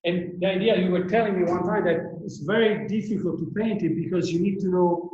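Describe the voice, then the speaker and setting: A man quickly prompting a guest to explain more. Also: sits high, steady tone, a little mellow. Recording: echoey dull sound.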